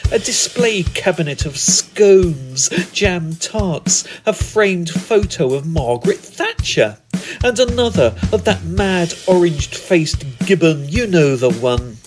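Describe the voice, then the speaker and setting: A British man delivering scripted comic poetry as a spoken word performer, with a deliberate, theatrical and wry manner. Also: on the high side, lively intonation, music in the background. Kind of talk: scripted comic poetic narration.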